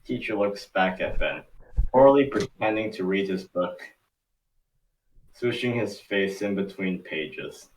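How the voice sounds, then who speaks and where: A man's voice: deep voice, animated, slow pace, distant mic, low-quality recording. A man giving scripted narration for an amateur table read.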